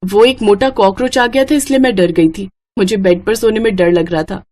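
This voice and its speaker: A woman voicing a timid, gentle young bride in a family story, anxious and accommodating. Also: medium pitch, normal speed, lightly acted.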